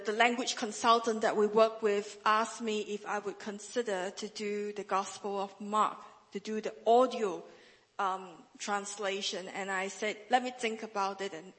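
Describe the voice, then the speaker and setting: A woman's voice: mid-range voice, a bit bright. Earnest woman in a reverberant hall. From northern Malaysia. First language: a Chinese dialect. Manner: earnest, frequent restarts and repeated words. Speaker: guest speaker, Bible translator. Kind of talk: testimony speech in church.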